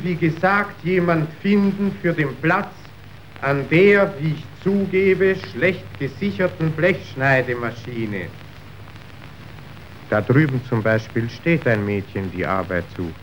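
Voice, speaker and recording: An actor playing a calculating factory middleman in a radio drama, speaking in a measured, deliberate way. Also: fairly high pitch, lightly acted. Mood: coolly businesslike. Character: calculating male factory middleman, coolly testing people. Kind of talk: scripted radio drama acting. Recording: dull, faintly hissy old radio recording.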